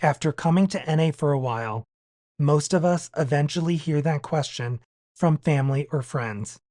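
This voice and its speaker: A man's voice: a little high, normal speed, a little mellow, dry recording, clean studio sound. A man reading a daily reflection aloud for a podcast. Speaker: podcast guest reader.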